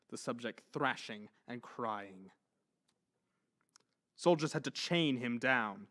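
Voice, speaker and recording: Guy doing dramatic horror narration, forceful and sharp, really theatrical. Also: medium pitch. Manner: intense, theatrical.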